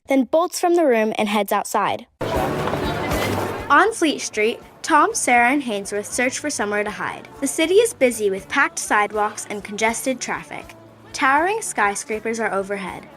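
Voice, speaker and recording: A woman's voice: fairly high pitch, big pitch swings, moderate pace, a bit bright, soft background music. A woman narrating a radio play in a vivid, dramatic scripted style.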